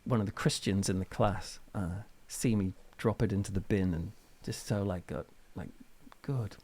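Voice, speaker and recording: A middle-aged British man chatting casually as an interview guest, telling anecdotes in an informal, animated way. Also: fairly low pitch, moderate pace, a little hiss or hum. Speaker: interview guest, author and reverend.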